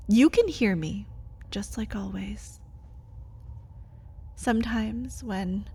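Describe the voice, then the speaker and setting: A high-pitched vampire woman, voiced by an actress, locked away and aching for her lover. She speaks slowly and dramatically, with a bitter, pleading desperation. The delivery is that of an intimate ASMR roleplay monologue. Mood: bitter, wounded. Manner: dramatic, swinging between breathy whispers and pleading outbursts.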